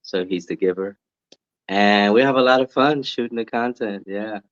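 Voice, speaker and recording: A man's voice: lowish voice, normal speed, no room sound, muffled recording. A man from the US East Coast, a podcast guest, chatting casually and laughing easily with many fillers. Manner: laid-back, laughs easily, lots of fillers. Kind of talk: casual interview chat.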